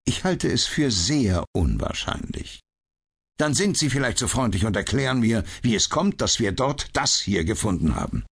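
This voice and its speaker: A man's voice: on the low side, sing-song, moderate pace, vocal fry, husky, voice pushed up, full character voice. A man reading an audiobook, voicing an indignant, bitter police inspector who barks his words. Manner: blustering and bitter. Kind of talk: audiobook character voice.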